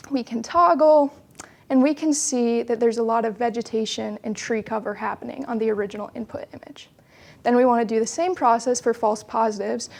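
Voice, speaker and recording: A young woman with a fairly high voice and pronounced vocal fry presents research as a student. She explains things step by step in plain, orderly phrasing. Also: darkish tone. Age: young adult.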